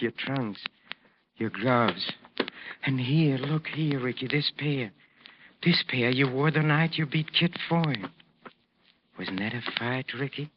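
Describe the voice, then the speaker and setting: An actor playing an obsessive older boxing trainer, effusive and pleading, in the theatrical style of old-time radio drama. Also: male voice, medium pitch, lightly acted. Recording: noisy, dull vintage radio recording.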